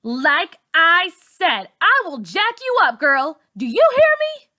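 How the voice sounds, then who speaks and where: A woman voicing a mean, bossy schoolgirl bully in a story reading, with a forceful, taunting, threatening manner. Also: extremely high pitch, very animated, slow, pitched above the performer's own voice, full character voice. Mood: menacing. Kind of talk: dramatic audiobook character voice.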